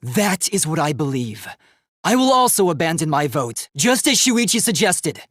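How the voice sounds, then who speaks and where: Casting: idealistic young robot for a dramatic game; youthful, faintly husky, formal and emphatic, very animated pitch. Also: male voice, normal speed, no echo. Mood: solemn, resolved. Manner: earnest, formal, emphatic delivery. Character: earnest, determined young male robot, idealistic and emotional. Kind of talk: dramatic video game voice acting.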